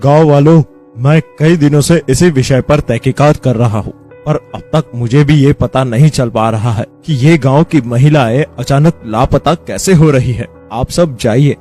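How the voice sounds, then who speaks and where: A man's voice: mid-range voice, mellow, natural voice. An actor voicing a shifty village man in a Hindi cartoon story, with emphatic, theatrical delivery. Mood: firm, evasive. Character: village men, evasive headman and his caught henchmen.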